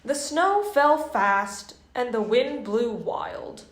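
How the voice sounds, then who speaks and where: A woman reads a children's storybook aloud with a high, lively voice. She goes slowly and expressively, and the room adds a clear echo. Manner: deliberate, expressive reading for children. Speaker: storyteller and host. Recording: roomy echo.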